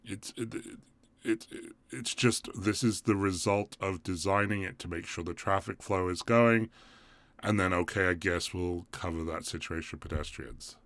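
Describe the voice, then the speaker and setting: A man's voice: sits low, moderate pace, fairly bright tone, dry recording. A man with an English accent hosting a live stream, talking casually and thinking aloud as he goes. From England. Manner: thinks aloud, rambling and conversational.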